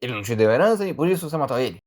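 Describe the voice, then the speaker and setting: A man playing an impatient alligator detective in an animated mystery, questioning bluntly with a Brazilian accent. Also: mid-range voice, racing, close to an everyday voice. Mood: probing. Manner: questioning, exclamatory. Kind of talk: animated murder-mystery dialogue.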